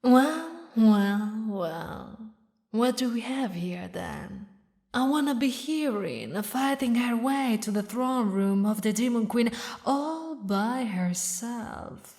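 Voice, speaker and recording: A woman voicing a haughty, mocking demon queen who mourns a lost lover, speaking in slow, theatrical, regal phrases for an audio roleplay. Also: a little high, expressive, darkish tone. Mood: amused, taunting. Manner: slow, taunting, regal, with dramatic pauses. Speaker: villainous ruler addressing a knight.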